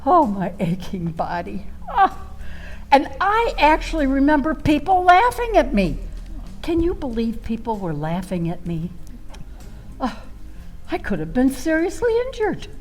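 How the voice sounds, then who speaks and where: A woman's voice: high voice, big pitch swings, faint music behind. A woman playing a flamboyant, self-dramatizing storyteller in a comic radio monologue, wry and flirtatious, drawing out words for effect. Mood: melodramatic. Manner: theatrical, drawn-out delivery with pauses, sighs and exclamations.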